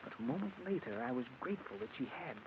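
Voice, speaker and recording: On a muffled, faintly hissing old radio play, a soft-spoken killer unburdens himself as a male actor plays him. His voice sits at a middling pitch. He is measured and courteous, earnestly justifying himself as he goes. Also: animated, close to an everyday voice. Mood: hushed, secretive. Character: soft-spoken, self-justifying wife murderer confessing his past. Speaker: actor playing narrator and lead character. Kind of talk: 1940s radio drama acting, confessional narration. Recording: dull, noisy old radio recording.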